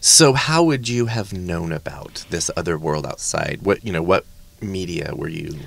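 A man's voice: low voice, animated, normal speed, vocal fry, a little hiss or hum. A man, casually interviewing a guest as a podcast host.

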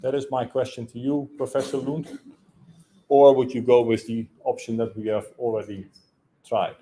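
A man in his thirties standing in a conference room, asking a long spontaneous question in a loud voice with many hesitations, recorded by a room camera. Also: medium pitch, little pitch movement, moderate pace, a little hiss or hum, low-quality recording. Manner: loud, hesitant, gesturing as he talks. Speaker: audience member asking a question. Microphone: room camera, no handheld mic.

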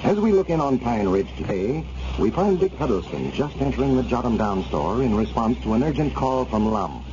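Noisy old-time radio announcer, lively man. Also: mid-range voice, normal speed, lo-fi sound. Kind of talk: old-time radio sponsor read and scripted narration.

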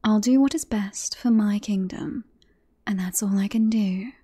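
A woman playing a teasing, self-assured queen in a romantic fantasy ASMR roleplay, with breathy, formal courtly phrasing. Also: medium pitch, unhurried, dark tone. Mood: tender, confident.

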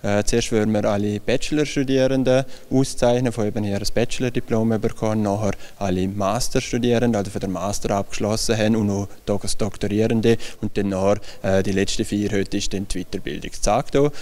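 Hesitant, low-voiced man. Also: fairly even intonation, brisk, hardly any pauses, a little mellow. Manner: hesitant, many fillers. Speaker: university rector. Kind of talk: news interview. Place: large bright hall with tables. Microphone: handheld microphone held near the speaker.

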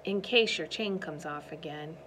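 A woman's voice: sits low, moderate pace, a bit bright, slight background noise. A woman doing a kind, helpful boy. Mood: gentle.

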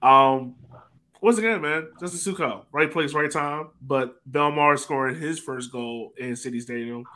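A man's voice: medium pitch, a little slow, pushed and bright. A man hosting a casual sports chat over a video call, conversational and now and then searching for words. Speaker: podcast host. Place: indoor room, remote video call. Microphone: video call microphone.

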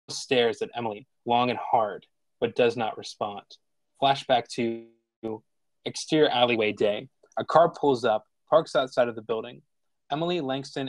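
A man narrating stage directions from a script in a measured, plain way. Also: mid-range voice, no echo.

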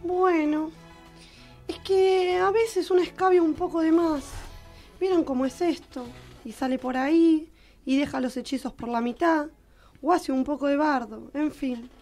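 A woman's voice: high voice, fairly even intonation, a little slow, dark tone, faint music behind. A woman voicing a hungover, sardonic good fairy with an Argentine accent in a comic radio play. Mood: sheepish.

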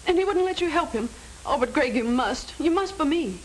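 A woman's voice: sits high, animated, fairly quick, poor audio. An American actress playing a lovestruck, devoted young woman who implores earnestly in a 1930s film melodrama. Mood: anxious, pleading. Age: young adult. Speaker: film actor. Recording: hissy 1930s film soundtrack.

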